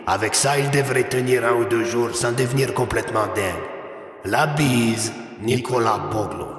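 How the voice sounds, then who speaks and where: A man's voice: mid-range voice, a little slow, lightly acted. A man as a smug villain in heavy reverb. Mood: smug, taunting. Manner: smug, mock-polite. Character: mocking male villain reading out his taunting note. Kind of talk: French audio fiction acting. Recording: echoing, long reverb over music.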